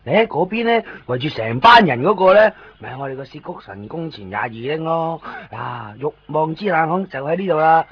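An actor playing an easygoing, talkative friend who shows the way, speaking colloquially in a Cantonese radio drama. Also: male voice, fairly high pitch, very animated, a little fast, hardly any pauses. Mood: eager. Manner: chatty, colloquial. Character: chatty, easygoing male friend acting as local guide. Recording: dull radio recording, faint noise.

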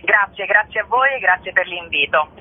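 A woman's voice: medium pitch, animated, moderate pace, slightly rough. A woman speaking as a theatre representative in a phone interview, with wordy, formal, articulate explanations. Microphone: telephone. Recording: tinny, narrow telephone line.

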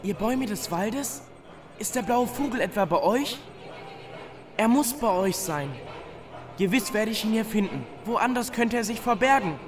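A man's voice: high voice, expressive, normal speed. An actor playing an earnest, polite young boy who pleads eagerly in a theatrical radio play. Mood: eager pleading. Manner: polite, eager, clearly declaimed. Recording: studio radio play with music behind.

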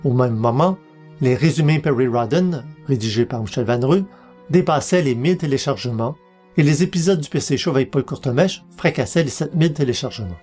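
A man's voice: medium pitch, expressive, a little fast, a little mellow, faint music behind. A man, hosting a podcast and reading scripted narration. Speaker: podcast host and publisher.

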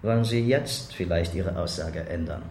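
An actor playing a formal, measured police interrogator in a radio drama. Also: male voice, low voice, a little mellow, a little hiss or hum. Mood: restrained, matter-of-fact. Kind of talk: naturalistic radio drama dialogue. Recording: echoey room.

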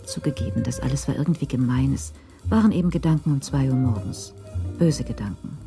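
A woman narrating a crime radio drama in a cool, measured, literary style. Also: extremely low pitch, huge pitch swings, mellow, soft background music, heavily acted.

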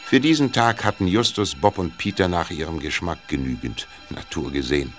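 Deep-voiced male storyteller. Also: normal speed, faint music behind. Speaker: narrator. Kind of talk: scripted audio drama narration.

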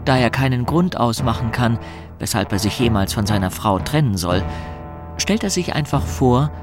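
A man's voice: mid-range voice, lively intonation, normal speed. A man reading scripted dramatic narration for a radio play. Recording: studio narration over clear music. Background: music.

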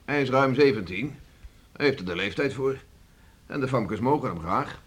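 An actor playing a hearty, gruff farmer and beachcomber father in a Dutch radio drama, talking in a bluff, teasing way. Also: mid-range voice, lively intonation, normal speed. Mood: bluff, easygoing. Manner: bluff, teasing, chuckling, colloquial.

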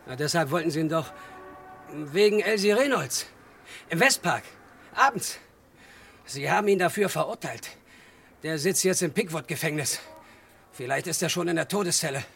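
A man's voice: a little high, lively intonation, moderate pace, creaky, long pauses, a bit bright, soft background music. A man playing a troubled, stubborn young man in a 1960s German radio thriller, insistent and brooding in simple phrases. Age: young adult. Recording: 1963 radio recording, faint noise.

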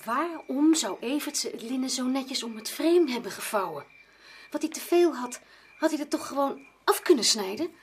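An actress in a radio drama playing a sensible, forthright female companion, brisk and questioning. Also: high-pitched, animated, a little hiss or hum. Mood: curious.

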